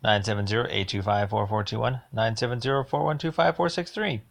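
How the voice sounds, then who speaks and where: A man leaving a rambling voicemail complaint, speaking in a halting, insistent and emphatic way. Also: mid-range voice, gravelly, a bit bright.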